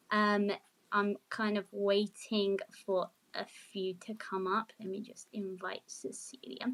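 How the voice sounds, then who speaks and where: A woman's voice: a little high, fairly bright tone, rough recording. A woman hosting a live online audio chat, bubbly, upbeat and chatty as she welcomes her speakers. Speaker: host. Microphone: remote audio stream.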